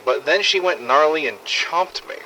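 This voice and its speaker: A brash American tourist brags in a bright, punchy voice. He is slangy, clueless and full of himself, as in a video game audio log with ambient noise behind. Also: fairly high pitch, expressive, moderate pace, rough recording. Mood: cocky, excited. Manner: slangy, cocky. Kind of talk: game audio log, letter read aloud.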